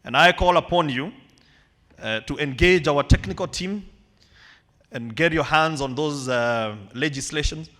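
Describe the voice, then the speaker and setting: A man delivers a keynote from the podium, his voice sitting a little high for a man. He speaks in measured, emphatic phrases and leaves pauses between them, heard through a podium mic in a large hall. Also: fairly bright tone. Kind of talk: formal keynote address.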